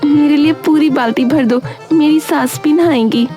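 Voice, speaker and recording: Woman acting as squabbling villager, cartoon comedy, high-pitched, insistent, pushy, music behind. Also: normal speed, mellow, close to an everyday voice. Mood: demanding. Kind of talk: Hindi animated comedy story voice acting.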